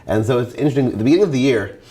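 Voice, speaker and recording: A man's voice: medium pitch, animated, rapid-fire, dark tone, slightly rough. A young man in his twenties, a science teacher, speaking encouragingly with frequent restarts and fillers. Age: 20s. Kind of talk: interview and classroom talk.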